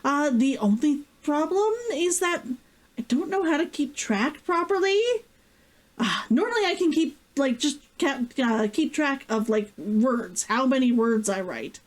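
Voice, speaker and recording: A woman with a high-pitched voice and a highly animated delivery. She takes her time as she talks, in the giggly, effusive way of an online host sharing her own writing. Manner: giggly, effusive, drawn-out sighing interjections. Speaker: vtuber host and author. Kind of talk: casual excited chat and reading aloud from own novel.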